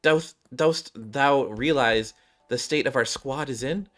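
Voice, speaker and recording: A man's voice: medium pitch, slow, a bit bright, voice pushed up. A man voicing an indignant woman soldier who speaks in formal, archaic diction in a video game story. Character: angry archaic-speaking woman soldier with a sword, confronting her commander.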